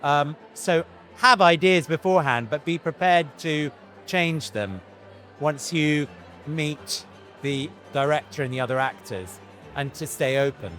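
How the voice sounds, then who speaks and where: Earnest middle-aged actor, interview. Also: male voice, on the high side, unhurried, a bit bright, soft background music. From Iran, raised in Britain. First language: Farsi. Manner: thoughtful, earnest, with frequent restarts and "you know". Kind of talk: interview answers.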